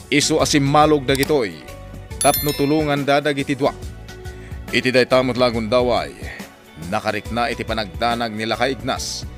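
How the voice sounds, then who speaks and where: A man's voice: medium pitch, fairly bright tone. A man giving dramatic scripted narration, recapping as the narrator of a radio serial. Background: faint music.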